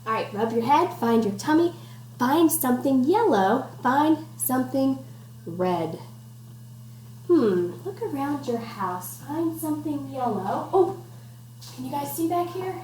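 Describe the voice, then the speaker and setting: A woman with a fairly high, very animated voice reads a picture book aloud to young children. She speaks slowly, encouraging them and giving simple instructions, in an echoey room at home. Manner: encouraging, addresses children directly with questions and instructions. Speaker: teacher reading aloud to children. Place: at home, indoors. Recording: echoey room with faint background noise.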